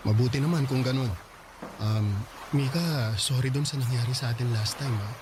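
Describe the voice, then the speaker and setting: A man's voice: mid-range voice, moderate pace, lightly acted. A man acting a kind young man in a radio drama, careful and apologetic. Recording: audible background hiss.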